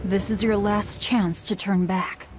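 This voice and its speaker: A woman's voice: mid-range voice, level tone, measured pace, over music, lightly acted. An actress playing a blunt, vengeful young warrior woman in a video game, with a cool, commanding manner. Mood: grim, resolute. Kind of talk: dramatic English game voice acting.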